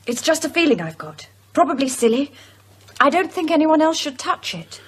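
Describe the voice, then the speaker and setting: A woman's voice: high voice, big pitch swings, normal speed. A woman playing an anxious, solemn widow haunted by a curse in an audio horror drama. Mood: anxious, insistent.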